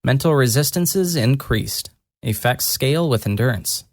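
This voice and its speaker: A narrator voicing a gentle young woman mage who murmurs softly and deliberately, earnest and lightly teasing, in a fantasy audio story. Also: medium pitch, animated, no room sound, clean studio sound. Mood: earnest, explaining.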